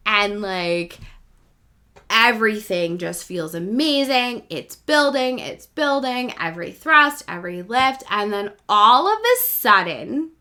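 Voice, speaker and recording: A woman in her thirties telling a personal story as a podcast host, in a casual, candid, animated and self-mocking way. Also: medium pitch, slow.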